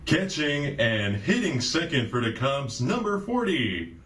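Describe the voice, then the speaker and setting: A man's voice: a little high, very animated, a little slow, a bit bright, a little hiss or hum. A man in his twenties with an American accent, giving a formal, showy ballpark announcer delivery, recorded on a distant camera in an echoey space. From Chicago, United States. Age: 20s. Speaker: stadium public address announcer auditioning. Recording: echoey home video, distant microphone.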